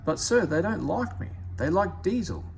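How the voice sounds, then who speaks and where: A man plays a small, plucky engine from a children's story. It speaks earnestly and politely, with a slightly high voice that moves up and down a great deal. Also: big pitch swings, normal speed, creaky, a little hiss or hum, full character voice, rough recording. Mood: humble.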